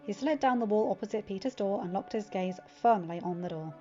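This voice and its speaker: A woman's voice: medium pitch, a little fast, warm tone. Female audiobook reader for fan fiction, slightly muffled recording with music underneath. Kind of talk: scripted story narration, audiobook reading. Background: background music.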